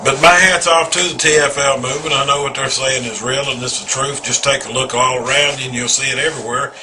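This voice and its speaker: A man's voice: mid-range voice, steady tone, quick pace, noisy background. A man in his forties giving an earnest, rambling personal testimony, often repeating himself. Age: 40s. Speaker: video testimonial speaker.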